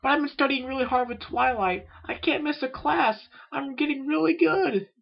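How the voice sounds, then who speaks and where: A man voicing a young female unicorn apprentice, excitable, anxious and eager, in a story read aloud. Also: very high voice, moderate pace, vocal fry, lo-fi sound, voice pushed up. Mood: eager, hurried. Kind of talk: fan fiction read aloud with character voices.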